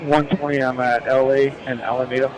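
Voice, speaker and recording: A man's voice: medium pitch, flat delivery, fairly quick, a crowd in the background. A man reporting his position as a field police officer over a police radio. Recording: noisy police scanner, outdoor background.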